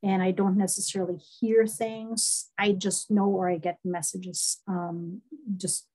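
A middle-aged woman, speaking as an interview guest over a headset on a video call, telling her story warmly with many fillers. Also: medium pitch, flat delivery, a little slow, husky. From East Germany. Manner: warm storytelling, frequent laughs and fillers. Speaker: guest, horse body-work therapist.

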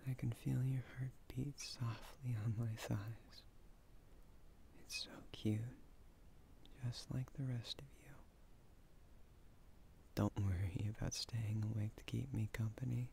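Casting: man playing a loving, shy, nerdy young husband for ASMR roleplay; hushed, creaky, very unhurried delivery. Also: mid-range voice, fairly even intonation, a little hiss or hum. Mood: soothing. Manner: soft, breathy, often half-whispered, unhurried. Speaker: voice actor in an audio roleplay. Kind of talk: intimate ASMR boyfriend roleplay.

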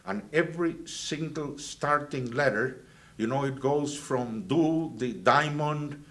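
Older man, halting recollections. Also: medium pitch, a bit bright. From Venezuela, raised in Guatemala. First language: Spanish. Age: 70s. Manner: halting, many fillers and restarts, gestures while talking. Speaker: alumnus interviewee. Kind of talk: reminiscing interview. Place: indoors, plain backdrop.

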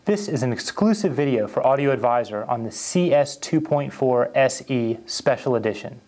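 Male company rep, thirties, mid-pitched voice, formal and articulate scripted product demo. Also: animated, normal speed, a little mellow. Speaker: company representative presenting a product. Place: carpeted indoor listening room with acoustic panels, seated.

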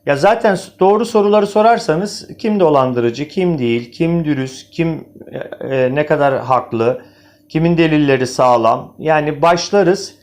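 A man's voice: on the high side, measured pace, a little mellow. A man presenting informal video commentary, speaking directly to viewers in an opinionated, questioning way. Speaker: video host, amateur presenter.

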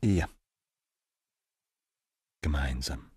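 An actor in a radio play voicing a calm, dryly teasing male lover, a little jealous. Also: a bass voice, very slow, breathy edge, no echo, heavily acted. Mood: tender. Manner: dry, gently teasing, calm.

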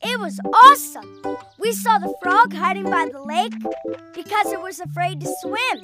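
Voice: very high voice, normal speed, loud music behind the voice. An actor voicing a childlike, chirpy animal in a children's cartoon, speaking in an earnest, simple way. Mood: enthusiastic.

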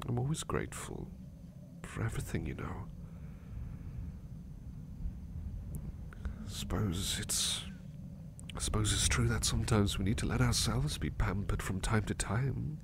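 A man playing a gentle, sleepy, devoted servant in an intimate ASMR roleplay, speaking softly and hesitantly in murmurs and whispers. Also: fairly low pitch, expressive, normal speed, gravelly. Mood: drowsy, grateful. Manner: soft, drowsy, hesitant, often murmured or whispered. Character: gentle, sleepy, devoted male servant comforting and being comforted. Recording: noticeable background noise.

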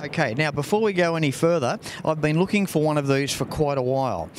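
A man's voice: on the high side, lively intonation, fairly quick, a little hiss or hum. An older Australian man hosts a car show from a large indoor showroom, wearing a lapel mic. He talks in a chatty, enthusiastic way about the cars. Faint room ambience sits behind his voice. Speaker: host.

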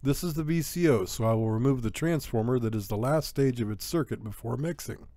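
A man's voice: medium pitch, fairly quick, dark tone, dry recording. A man reading scripted technical narration as an electronics tutorial narrator, in a methodical, matter-of-fact way.

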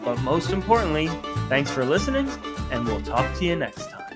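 A man's voice: fairly high pitch, sing-song, fairly quick, over loud music. A man hosting a casual podcast, chatting and addressing the listeners. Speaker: podcast host. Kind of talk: casual podcast banter and sign-off.

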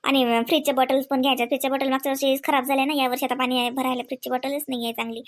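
A woman plays a young housewife and mother in a domestic comedy cartoon. Her voice sits high, and she talks in a chatty, coaxing, practical way. Her Marathi is homely, with a Varhadi accent from Vidarbha. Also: little pitch movement, rapid-fire, natural voice.

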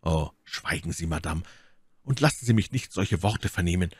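Brief: male reader as an earnest young officer, low and hushed, serious, dry close recording. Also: lively intonation, moderate pace, slightly rough, no echo. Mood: grave. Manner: hushed, grave and pleading. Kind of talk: audiobook character reading.

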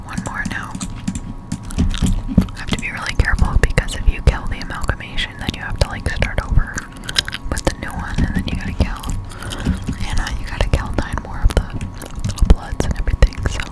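A young woman whispers casual, halting ASMR gameplay commentary, breathy and close to the microphone. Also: low-quality recording. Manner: casual, halting, slangy explanation. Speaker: gaming ASMR streamer. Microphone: close-up desktop condenser microphone.

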